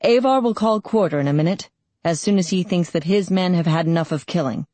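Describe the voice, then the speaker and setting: A woman voicing a loyal male soldier in an audiobook, brisk, reassuring and wry. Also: on the low side, mellow, no room sound, natural voice. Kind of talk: audiobook character voice.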